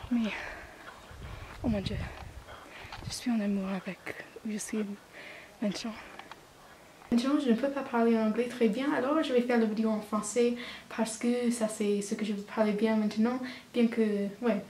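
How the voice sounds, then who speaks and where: A woman's voice: fairly high pitch, steady tone, moderate pace, long pauses, dark tone, echoey room, a little hiss or hum. A teenage girl from Canada with an English Canadian accent, a native English speaker vlogging casually in a learned language, hesitant and searching for words. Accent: English Canadian learner of French. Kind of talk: casual vlog, speaking unscripted.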